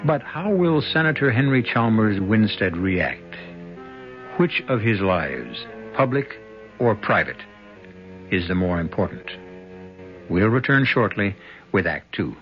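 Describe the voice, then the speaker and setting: Deep, portentous male mystery narrator. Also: sing-song. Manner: portentous, pausing for suspense. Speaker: host narrator of a radio mystery series. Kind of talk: dramatic scripted narration. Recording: muffled radio broadcast, loud music behind.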